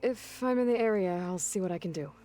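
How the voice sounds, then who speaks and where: A woman's voice: medium pitch, fast talker, warm tone, soft background music, close to an everyday voice. An actress playing a resolute, practical young woman warrior in a video game cutscene. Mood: reassuring.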